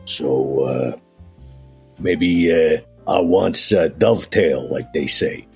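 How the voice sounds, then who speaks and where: A man voicing a streetwise, deferential century-old vampire with a gravelly, husky voice and hesitant, folksy old-time slang in a tabletop game. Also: a bass voice, slow pace, soft background music, exaggerated voice. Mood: easygoing, humble.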